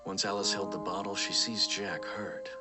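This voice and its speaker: A man's voice: low-pitched, little pitch movement, moderate pace, over loud music, natural voice. A man playing a chatty storyteller who narrates a sci-fi radio drama with suspense and asides to the listener. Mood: solemn, suspenseful. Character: colloquial, chatty male storyteller of a sci-fi space adventure.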